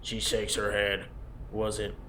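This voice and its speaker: A man's voice: on the low side, completely flat delivery, slow pace, sharp tone. Man narrating a game, faint noise. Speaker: narrator and player of a visual novel. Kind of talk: scripted game narration read aloud.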